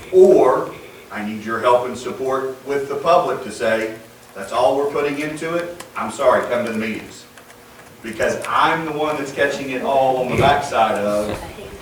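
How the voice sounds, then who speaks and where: A man speaking loudly and bluntly at a school board meeting, recorded from a distance in a room. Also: mid-range voice. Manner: loud, emphatic, blunt. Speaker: staff member handling the meeting's live stream. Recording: far from the mic in an echoey room with background noise.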